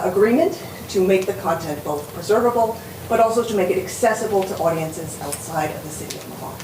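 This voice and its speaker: Woman panel speaker, low voice, earnest with fillers; distant roomy recording. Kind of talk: conference talk. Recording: echoey hall from far off, noisy.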